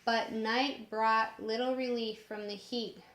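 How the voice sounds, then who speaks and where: Slow-reading woman storyteller in an echoey room. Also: on the high side, fairly even intonation, fairly bright tone. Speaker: storyteller reading a book aloud. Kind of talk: storybook narration. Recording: roomy echoing home recording.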